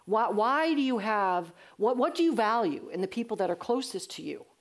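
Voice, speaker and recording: A woman's voice: medium pitch, big pitch swings, normal speed. Earnest woman panelist. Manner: earnest, conversational, frequent restarts. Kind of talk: panel discussion answering audience.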